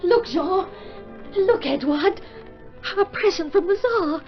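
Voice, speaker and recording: Radio-play prima donna: effusive, carefree, swooping delivery on a dull old recording. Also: female voice, very high voice, animated, slow, music in the background, exaggerated voice. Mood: elated. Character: excitable, extravagant young opera soprano, woman. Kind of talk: 1950s-style radio drama acting.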